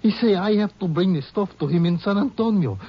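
An actor in a 1950s radio drama playing a stubborn, frightened Mexican courier with an accent and broken, evasive English. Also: male voice, high voice, a little fast, breathy edge. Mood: alarmed, pleading. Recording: muffled old 1950s radio recording.

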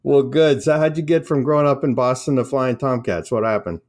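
A man's voice: mid-range voice, a little fast, a little mellow. A middle-aged man co-hosting a podcast, a friendly, upbeat interviewer who laughs easily. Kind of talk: casual podcast chat.

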